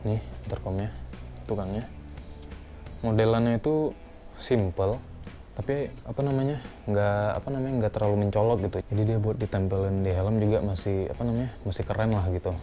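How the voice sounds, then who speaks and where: A young man talks casually through a product review in a deep, very mellow voice. His delivery is flat and conversational, as if unscripted. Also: brisk, faint music behind.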